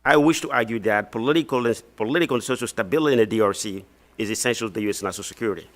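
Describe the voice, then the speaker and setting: A man from Congo in his thirties, reading formal prepared testimony as a witness at a hearing, measured with occasional restarts. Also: on the low side. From Democratic Republic of the Congo. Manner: measured, reads prepared text with some restarts.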